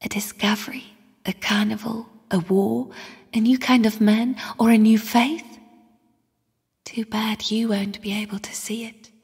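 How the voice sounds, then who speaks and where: Sad ghostly girl spirit from a game, slow whispery airy voice, kinda high, solemn and mysterious. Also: steady tone, slightly rough, exaggerated voice. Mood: wistful. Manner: slow, hushed, solemn delivery. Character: mysterious, sorrowful young spirit sister of the void. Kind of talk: video game character voice acting. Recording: long cavernous reverb.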